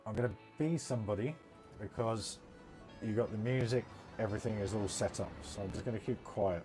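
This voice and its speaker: A man giving casual, halting, rambling commentary on a gaming livestream. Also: on the low side, over music. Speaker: livestream host.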